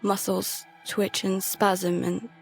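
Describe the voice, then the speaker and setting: An actress playing a terrified girl who narrates a survival horror audio drama in halting, fragmented phrases. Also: mid-range voice, little pitch movement, creaky, soft background music. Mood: grim dread. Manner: halting, fragmented, hushed dread breaking into pleading.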